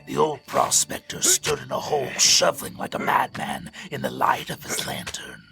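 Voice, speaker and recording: A man narrating a scripted story in a suspenseful, theatrical way, with a gravelly, breathy voice. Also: mid-range voice, huge pitch swings, moderate pace, over music.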